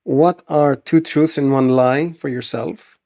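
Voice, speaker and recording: Man hosting, curious mid-pitched questions. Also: fairly even intonation, slow pace, gravelly, warm tone. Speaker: podcast host. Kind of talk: casual interview questions.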